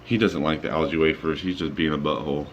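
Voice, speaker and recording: Sleepy young man with a very low voice. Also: fast, slight background noise. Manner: relaxed, rambling with many ums. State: sleepy, just woke up. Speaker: aquarium vlogger. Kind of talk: casual walkthrough vlog. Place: home room with fish tanks, indoors. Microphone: handheld phone camera.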